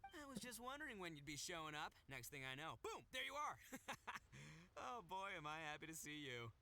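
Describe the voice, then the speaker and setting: An actor playing a boisterous, boastful young gang boss in a video game, loud and showy. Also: male voice, on the high side, big pitch swings, brisk, background noise. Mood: excited. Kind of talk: animated video game voice acting.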